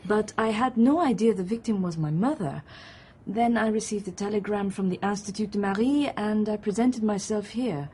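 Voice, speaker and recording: An actress as husky, composed young Frenchwoman. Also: medium pitch, mellow, lightly acted. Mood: cool, composed. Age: young adult. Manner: formal, measured. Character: composed, reserved young married French-speaking woman. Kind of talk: radio drama acting.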